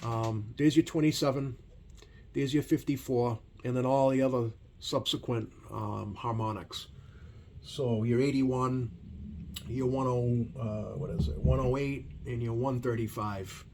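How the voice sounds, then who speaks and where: A man giving a casual hands-on demonstration as a repair technician, talking in a hesitant, rambling way with many ums and pauses. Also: mid-range voice, fairly even intonation, darkish tone. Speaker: CB radio repair technician hosting a video. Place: repair workbench indoors. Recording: noisy room tone behind voice.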